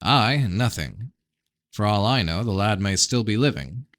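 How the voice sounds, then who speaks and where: A man's voice: on the low side, lively intonation, measured pace, a bit bright, dry recording, studio quality. A man voicing a blunt, suspicious prince in a first-person novel reading, terse and challenging. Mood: pressing, suspicious. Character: blunt, suspicious fantasy prince interrogating his brother.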